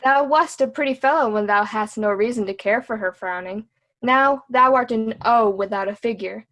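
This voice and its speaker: A woman's voice: fairly high pitch, normal speed, a bit bright, close to an everyday voice. A woman playing a witty, cheeky court jester in a Shakespearean reading, with a mocking, sing-song delivery.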